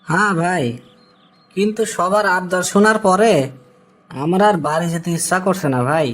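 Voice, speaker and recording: A man's voice: high-pitched, normal speed, a bit bright, a little hiss or hum. A man voicing a weary, dutiful young worker living abroad in a cartoon, with a Bangladeshi accent. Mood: weary, hurt. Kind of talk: cartoon dialogue.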